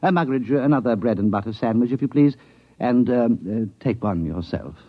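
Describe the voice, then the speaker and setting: An actor playing a wry, quick-witted captain in the British army, teasing and persuasive, in a 1950s radio drama. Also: male voice, medium pitch, lively intonation, close to an everyday voice. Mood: playful, scheming. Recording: dull vintage radio broadcast.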